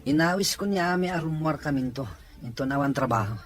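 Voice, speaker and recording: A man's voice: fairly high pitch, quick pace, soft background music, lightly acted. A man playing a troubled, suspicious fellow confiding in a buddy in a serial drama.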